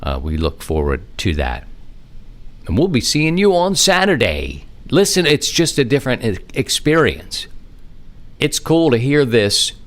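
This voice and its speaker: A middle-aged man hosting a hobby podcast alone, talking in a casual, rambling, conversational way with animated asides. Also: medium pitch, a little slow. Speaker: amateur radio podcast host. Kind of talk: casual solo podcast monologue.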